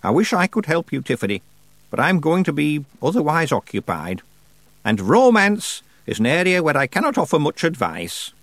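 A man voicing an ancient female witch, stern and sly, in a slow, drawn-out, lofty manner for an audiobook. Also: on the high side, very animated, fairly bright tone, dry recording, pitched above the performer's own voice. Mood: teasing.

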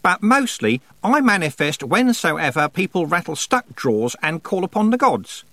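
Storybook goddess: skinny tired woman, wry and casual, high lilting delivery, performed by a male reader. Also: big pitch swings, moderate pace, pushed and bright, no echo, heavily acted. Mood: offhand, wry. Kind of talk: audiobook character voice.

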